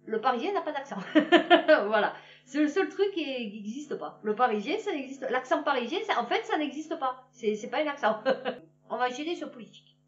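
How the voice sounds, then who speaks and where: A woman with a Marseille accent rants in a blunt, slangy way and chuckles at her own jokes. Her fairly high voice swings up and down a lot. She sits back from the mic in an echoey room, and the sound is a little dull. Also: lively intonation, normal speed, distant mic. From Marseille, France. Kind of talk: casual political rant to camera.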